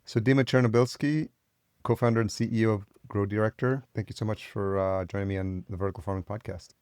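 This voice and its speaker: Male podcast host, low voice, upbeat chatty interviewer, dry close sound. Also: fairly even intonation, fast, a little mellow, clear, resonant tone, no echo.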